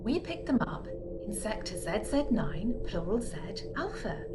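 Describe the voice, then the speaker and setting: A woman's voice: medium pitch, sing-song, moderate pace, natural voice. A woman playing a level-headed, no-nonsense spacefarer in an amateur audio drama, matter-of-fact and patient. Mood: patient, firm. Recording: noticeable background noise.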